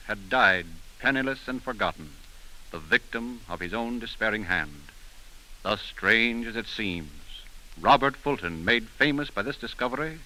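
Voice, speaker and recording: A man's voice: on the low side, bright, forceful tone, poor audio. A man giving dramatic scripted narration in 1930s radio style, on a hissy old recording.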